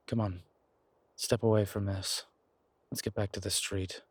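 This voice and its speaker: Man acting as a low, husky demon investigator. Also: flat delivery, a little slow, dry recording. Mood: tense, hurried. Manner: terse, commanding, colloquial. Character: gruff, urgent male incubus demon investigator, protective, wryly friendly. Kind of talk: immersive audio roleplay acting.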